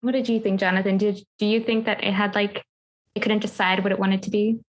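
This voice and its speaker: A woman's voice: mid-range voice, little pitch movement, a little fast. A woman co-hosting a casual book club podcast, informal and questioning in her phrasing.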